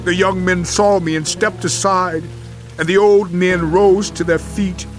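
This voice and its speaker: An actor playing a suffering righteous man in a dramatized audio Bible, with solemn, impassioned, measured delivery. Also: high voice, fairly even intonation, faint music behind. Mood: proud, wistful.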